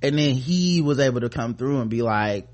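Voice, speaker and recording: An American man co-hosting a podcast, casually talking through the news and reading bits of articles in a halting, informal way. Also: medium pitch, animated, a little mellow. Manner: informal, halting, often trailing off mid-thought. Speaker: podcast co-host.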